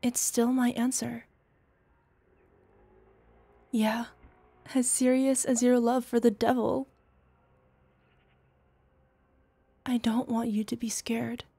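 Slow, tender devoted girlfriend voiced by a woman. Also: on the high side, little pitch movement, gravelly, dark tone, husky, no room sound, exaggerated voice. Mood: earnest, loving. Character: devoted, playful, smitten older girlfriend soothing her lover. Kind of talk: ASMR roleplay acting.

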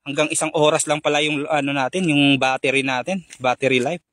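A man's voice: fairly high pitch, steady tone, fairly quick, fairly bright tone, a little hiss or hum. Upbeat young man live-streaming outdoors. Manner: chatty, greets viewers by name, laughs often. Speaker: fishing vlogger doing a live stream. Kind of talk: casual live-stream commentary. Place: concrete seawall at night, outdoors.

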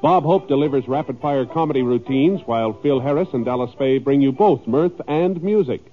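A man's voice: medium pitch, brisk. A man giving formal, polished announcements as a 1950s radio network announcer. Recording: tinny old radio recording with faint music.

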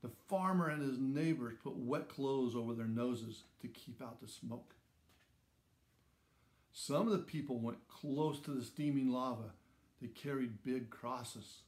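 A man in his sixties narrates a children's story at a slow pace. His phrasing is measured and simple, with dramatic emphasis on key words. The recording has a clear room echo around the voice. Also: mid-range voice, a little mellow. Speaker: storybook reader.

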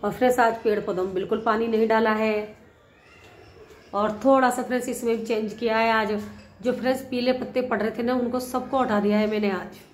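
A middle-aged woman hosting a gardening vlog, hoarse from a cold and chatty, talking to viewers on a phone held at arm's length on her rooftop terrace. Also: a little high, fairly even intonation, a little fast, a little hiss or hum. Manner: chatty, repeats "friends" often. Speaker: gardening channel host. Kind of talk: casual vlog talk to viewers. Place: home rooftop terrace with plants, outdoors.

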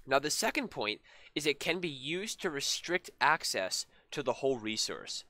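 A young man explains code over his screen in an easygoing, rambling way, with plenty of ums. His voice is bright and pushed forward, a little hoarse from a cold. The sound is dry and close from a headset in a small room. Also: medium pitch, normal speed, no room sound. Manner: relaxed, many ums and restarts. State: slightly hoarse from being sick. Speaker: programming tutorial host. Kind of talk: casual screen-share coding lesson.

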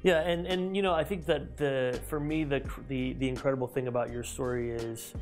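An energetic man in his thirties hosts an interview in a glass-walled office. He talks fast and eagerly, restarting his sentences and piling on fillers. A lapel mic picks him up, and soft music plays faintly behind him. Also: medium pitch. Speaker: host. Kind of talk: enthusiastic interview intro.

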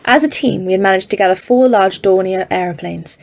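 Woman acting formal woman geologist, faintly gravelly voice. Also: mid-range voice, darkish tone, lightly acted. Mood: grave, reflective. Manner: formal, measured, literary. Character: Evelyn, earnest woman geologist leading polar expedition. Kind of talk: audio drama narration and dialogue.

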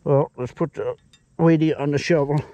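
A man giving casual running commentary while digging outdoors, muttering to himself in an unhurried way. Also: on the high side, steady tone, noisy background. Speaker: metal detecting vlogger. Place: outdoors at an old farm house yard.

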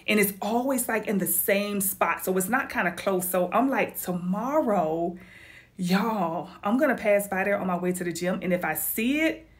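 A woman chatting casually to her viewers in a vlog, animated and talkative. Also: medium pitch. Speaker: vlogger.

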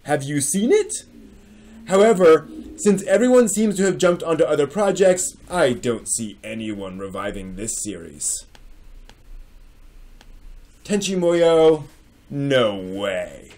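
A man's voice: a little high, big pitch swings, slow, a little mellow. A man in his forties hosting a dramatic reading with casual commentary, speaking in an emphatic, theatrical manner at home. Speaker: host. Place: small furnished room at home with bookshelves.

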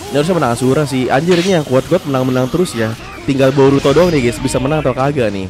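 Excitable young man with a stuffy nose. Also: medium pitch, very fast. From Indonesia. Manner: excitable, slangy, addresses viewers constantly. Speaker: gaming commentator. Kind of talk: casual gameplay commentary. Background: game music.

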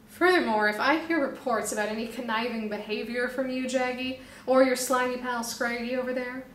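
A woman's voice: a little high, reverberant, slight background noise, lightly acted. A woman voicing an earnest, suspicious male snake police officer in a storybook reading.

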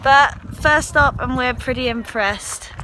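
A woman's voice: high-pitched, measured pace, heavy background noise. A young British woman chatting casually into a handheld camera on a road trip, giggly and laughing often. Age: young adult. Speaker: vlogger. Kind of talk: casual travel vlog chat. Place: in a car and outdoors on a mountain. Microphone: handheld camera at arm's length. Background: loud music.

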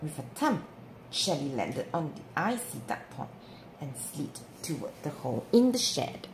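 A woman reading a children's story aloud in an expressive, deliberate way. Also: low voice. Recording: roomy with clear background noise.

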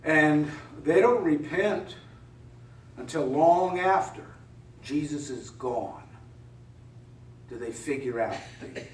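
A man's voice: fairly high pitch, animated, slow pace, pauses often. A middle-aged man, a pastor, preaching a sermon in a conversational, wry way with many rhetorical questions. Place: church sanctuary. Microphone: mic at a distance on a pulpit stand. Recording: roomy church echo from a distance.

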